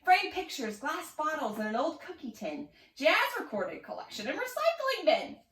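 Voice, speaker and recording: A woman reads a rhyming story for children with lots of expression. Her voice is high and very animated in pitch. She sounds distant in a roomy space. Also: normal speed. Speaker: storybook reader. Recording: echoey room, distant mic.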